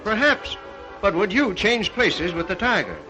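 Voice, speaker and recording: High-voiced, uneasy doctor. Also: male voice, animated, a little slow. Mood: challenging. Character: uneasy, thoughtful ship's doctor, a moralizing skeptic. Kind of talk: 1930s film acting. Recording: dull old film soundtrack, faint music.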